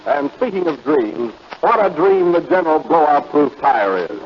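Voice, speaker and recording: A man announcing a sponsor plug on a 1940s radio comedy show, smooth and upbeat, on a dull old radio recording. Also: fairly high pitch, big pitch swings, moderate pace, slight background noise.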